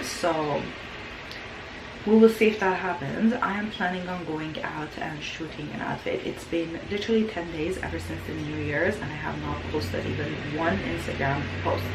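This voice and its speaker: A young woman with a raspy voice, sick with the flu, chatting casually to camera in a tired, rambling way. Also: lowish voice, echoey room, heavy background noise. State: sick with flu, hoarse throat. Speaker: lifestyle vlogger. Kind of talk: casual vlog chat to camera. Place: indoors at home.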